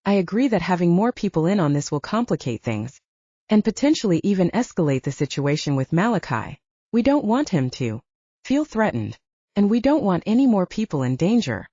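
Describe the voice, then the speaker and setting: Woman as young werewolf man, easygoing, playful, reassuring, audiobook character, mellow tone, lively pitch, echo-free. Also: brisk, dull audio. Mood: earnest.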